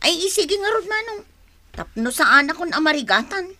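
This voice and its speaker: Quick-tempered Filipino radio-drama wife: high, forceful, sharp-tongued. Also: female voice, big pitch swings, heavily acted. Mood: everyday, chatty. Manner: scolding, sharp. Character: bossy, quick-tempered wife who orders her husband around.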